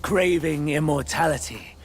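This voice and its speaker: A man's voice: on the high side, measured pace, close to an everyday voice. A man voicing a humble yet bitter monster monk in a game, with formal, archaic, theatrical delivery. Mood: formal, foreboding. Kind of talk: game cutscene voice acting.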